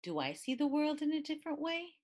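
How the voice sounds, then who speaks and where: A woman reading a picture book aloud in an expressive, deliberate way. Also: high-pitched. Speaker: storybook reader.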